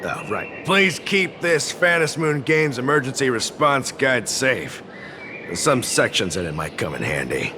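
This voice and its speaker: A man's voice: medium pitch, sing-song, measured pace, background noise. A man voicing a courteous, reassuring official in a game, speaking politely and casually. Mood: polite, easygoing. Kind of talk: game voice acting.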